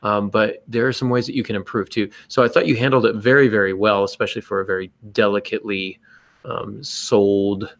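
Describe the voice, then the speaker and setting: A man's voice: fairly low pitch, fairly even intonation, a little fast, gravelly, a bit bright, no room sound. Emphatic man talking casually, close dry sound. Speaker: podcast co-host and writer. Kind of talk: casual advice talk with acted-out exchanges.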